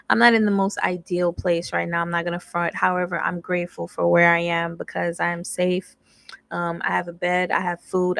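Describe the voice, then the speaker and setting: A woman's voice: on the low side, gravelly, dry recording. A young woman giving a casual, candid tarot reading, reading card text aloud and then riffing in slangy asides. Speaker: tarot reader.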